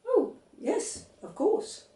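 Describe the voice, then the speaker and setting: A woman reader playing an anxious, indulgent mother in a children's story, gently questioning. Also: extremely slow, far from the mic. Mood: nervous. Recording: roomy, set back from the mic.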